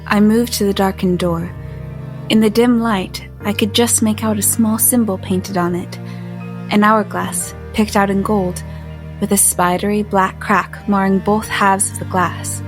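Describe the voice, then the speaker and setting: A woman acts an uneasy but resolute young heroine of an audio drama, over prominent music. Her mid-pitched voice is measured and descriptive. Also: steady tone, lightly acted. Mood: hushed, uneasy. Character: apprehensive but resolute female narrator-heroine. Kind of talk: first-person audio drama narration. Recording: music under the voice.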